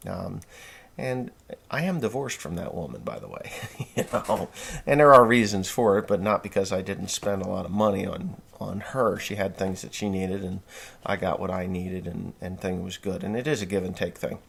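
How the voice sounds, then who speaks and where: A man's voice: low voice, normal speed, vocal fry, a bit bright, breathy edge. A man in his forties, from New Jersey, talking bluntly and folksily in a rambling monologue, with many fillers and restarts. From New Jersey, USA. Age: 40s. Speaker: podcast host.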